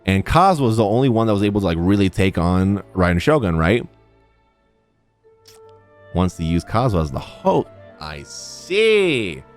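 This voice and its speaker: A man's voice: lowish voice, sing-song, normal speed, pauses often, faint music behind. A young man giving casual, slangy live commentary as he reacts to a game he is streaming, close on the mic. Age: young adult. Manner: slangy, chatty. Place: small indoor streaming room. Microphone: desk microphone close to mouth.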